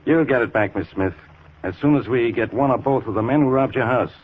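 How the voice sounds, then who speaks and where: An American actor playing a methodical, self-satisfied police inspector in a 1940s radio crime drama, questioning in a deliberate, official way. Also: male voice, mid-range voice, husky, close to an everyday voice. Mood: businesslike. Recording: thin phone-like 1940s radio recording.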